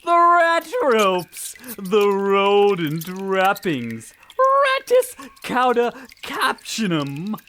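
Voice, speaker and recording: A woman's voice: medium pitch, huge pitch swings, drawn-out words, few pauses, fairly bright tone, full character voice. A woman playing a menacing, grandiose Rat King, a talking rat monster, in a comedic horror radio play. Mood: ominous, grandiose. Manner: grandiose, villainous, swooping.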